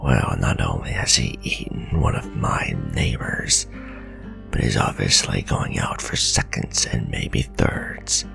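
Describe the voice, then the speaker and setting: An ancient werewolf who hunts vampires, grim and distrustful, in a gothic ASMR roleplay. His breathy growl is thick with gravel and moves at a slow, deliberate pace. Music plays clearly behind his scornful warnings. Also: a bass voice, exaggerated voice. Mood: grim, bitter. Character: gruff centuries-old male werewolf vampire hunter, bitter and distrustful. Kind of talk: dramatic ASMR fantasy roleplay. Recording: voice over clear background music.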